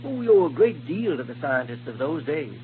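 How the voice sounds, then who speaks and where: An actor playing a kindly, learned scientist inventor in a 1930s radio serial, explaining things in precise technical language. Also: male voice, a little high, very animated, brisk, soft background music. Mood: earnest lecturing. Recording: muffled, noisy 1930s radio recording.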